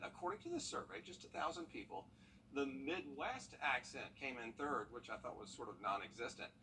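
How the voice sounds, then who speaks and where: Bright, lively local news anchor man. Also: mid-range voice, recorded from a distance, a little hiss or hum, low-quality recording. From Jacksonville, Florida. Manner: friendly, chatty, laughs easily. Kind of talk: late-night local news anchoring and casual desk banter.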